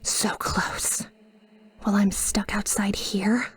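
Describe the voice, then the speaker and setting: A woman playing a sweet, possessively devoted guardian angel in a roleplay ASMR monologue, with soft, breathy, often whispered speech and formal, flowery wording. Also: medium pitch, little pitch movement. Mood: jealous, possessive. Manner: formal, flowery, slow and adoring.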